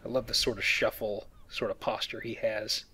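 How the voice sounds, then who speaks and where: A man's voice: fairly low pitch, little pitch movement, normal speed, bright, forceful tone, breathy edge. A man giving casual, joking commentary on a video game as he plays and explains it. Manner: joking, colloquial. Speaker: video game let's player.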